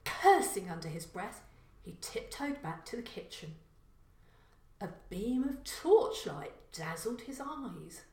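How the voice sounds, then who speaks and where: A woman reading a short crime story as scripted narration, with deliberate, careful phrasing. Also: mid-range voice, very animated, a little mellow, recorded from a distance. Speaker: author reading own story. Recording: echoey room.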